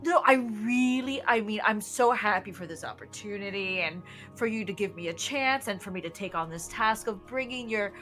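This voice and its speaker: A woman's voice: medium pitch, normal speed, few pauses, fairly bright tone, faint music behind, close to an everyday voice. A woman playing a meek, apologetic job seeker in a comedy radio drama, hesitant and stammering as she pleads. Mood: pleading. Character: meek, flustered young woman desperate for a job.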